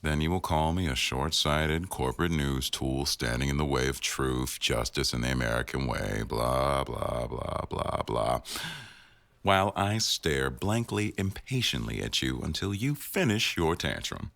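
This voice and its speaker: Very deep, gravelly boss played by a man. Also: lively intonation, dry recording, full character voice. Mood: dryly teasing. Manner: measured, dry, gently teasing. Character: attentive male boss, dry-witted news executive producer. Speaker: audio roleplay performer. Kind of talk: intimate boyfriend ASMR roleplay.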